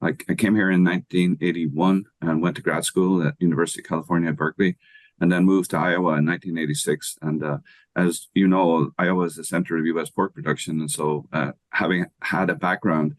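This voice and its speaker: A man in his sixties with an Irish accent, giving measured, patient expert answers as an interview guest. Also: low voice, fairly even intonation, no echo. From Ireland. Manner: measured, thoughtful, patient explaining. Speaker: guest expert, economics professor.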